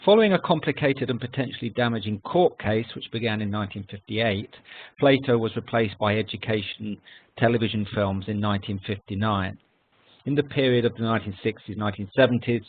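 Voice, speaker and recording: A man giving a scripted history lecture with slides as a consultant, in formal, measured phrasing. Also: lowish voice. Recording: narrow phone-like online call audio.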